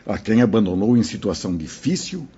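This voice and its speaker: A wise old spirit teacher, voiced by a man, with a very breathy, husky voice and a warm, darkish tone. He teaches calmly and paternally, his low voice rising and falling with lively intonation over a slightly dull, lo-fi sound. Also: a little fast. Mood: didactic. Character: wise elderly male spirit mentor. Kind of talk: audio drama acting.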